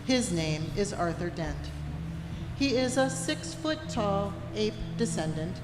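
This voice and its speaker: A woman playing the unflappable narrator of a science fiction comedy radio play, with a measured, dry storybook delivery. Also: medium pitch, lively intonation. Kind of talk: scripted narration for a live staged radio play. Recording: echoey hall, music under the voice.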